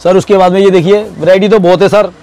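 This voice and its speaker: A man's voice: high-pitched, steady tone, quick pace, a little mellow. A middle-aged man with a Delhi Hindi accent showing his wholesale stock and quoting prices, agreeing again and again. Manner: keeps agreeing, "bilkul, bilkul". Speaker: shopkeeper. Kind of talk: casual sales talk. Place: small crowded wholesale shop, indoors.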